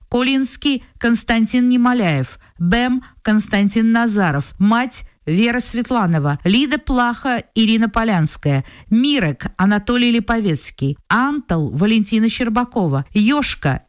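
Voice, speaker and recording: A woman reading formal scripted announcements as a 1950s radio announcer, measured and carefully enunciated. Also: on the high side, dry recording, studio quality.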